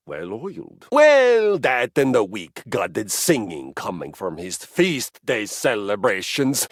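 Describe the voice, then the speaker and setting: A pompous, violence-loving exercise machine from a video game. The voice is gravelly and husky, the delivery slow and grand, swooping from hushed lows to booming highs. It sounds dry and close, recorded in a studio. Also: male voice, no room sound, exaggerated voice. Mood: epic storytelling. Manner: theatrical, pompous, swings from low murmurs to booming shouts. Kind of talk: video game character acting.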